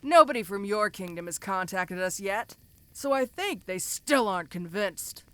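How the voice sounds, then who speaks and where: A woman's voice: medium pitch, lively intonation, normal speed, fairly bright tone, dry recording, close to an everyday voice. A woman voicing a domineering giant ogre mercenary in an audio roleplay, speaking to the listener in a casual, commanding, teasing way. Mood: matter-of-fact. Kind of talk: first-person audio roleplay addressed to the listener.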